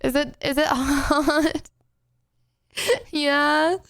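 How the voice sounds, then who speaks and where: A young British woman streaming a game, chatting casually with her chat and breaking into playful, excited exclamations. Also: sits high, very slow, creaky, dry recording, studio quality. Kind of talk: casual gameplay commentary to chat.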